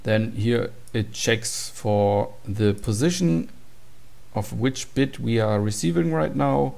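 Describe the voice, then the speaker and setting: A man's voice: medium pitch, lively intonation, slow pace, gravelly, darkish tone. A man giving an informal, matter-of-fact tutorial walkthrough of program code. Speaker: tutorial presenter.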